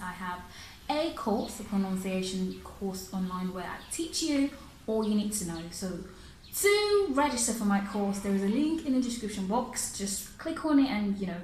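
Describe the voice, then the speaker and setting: A woman's voice: mid-range voice, darkish tone, roomy sound, slight background noise, poor audio. A woman from Africa who teaches pronunciation and speaks English as a second language, chatting casually through viewer questions, laughing often and repeating her phrases. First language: not English.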